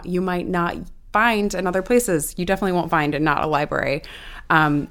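A woman with a very low voice answers interview questions about her program's work. She speaks slowly and thoughtfully, pausing on fillers as she goes. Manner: slow, thoughtful explanation with fillers. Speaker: program staff.

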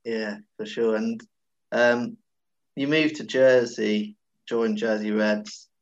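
A man's voice: on the low side, steady tone, slow, muffled recording. A man hosting a sports interview, asking hesitant questions and answering with short affirmations. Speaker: sports podcast host. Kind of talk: interviewer, casual chat.

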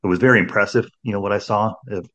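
A man's voice: lowish voice, fairly even intonation, very fast, fairly bright tone. A man in his sixties hosting a podcast in casual conversation, rambling with many restarts and "you know"s. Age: 60s. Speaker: podcast host.

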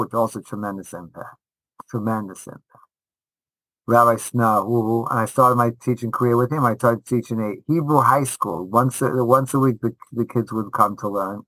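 A man's voice: mid-range voice, pushed and bright, dry recording, rough recording. A man giving casual interview answers as a rabbi and teacher, speaking emphatically and often repeating short phrases for stress. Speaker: rabbi and high school teacher.

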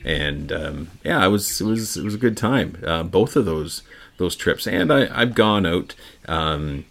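A middle-aged Canadian man hosting a casual solo podcast, rambling and halting with many ums and uhs. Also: low voice, animated, vocal fry, fairly bright tone. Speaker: podcast host.

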